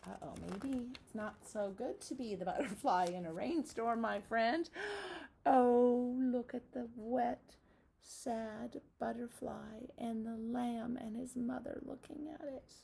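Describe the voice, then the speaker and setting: A woman's voice: on the high side, slow, creaky, mellow, a little hiss or hum. A woman teacher reading a picture book aloud expressively to young children, adding encouraging asides and questions.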